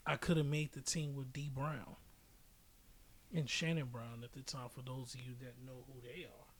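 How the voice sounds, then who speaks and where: A man's voice: mid-range voice, flat delivery, normal speed. An American man from Chicago talking sports casually, explaining his opinions with examples. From Chicago, United States. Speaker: podcast host. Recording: noisy amateur podcast audio.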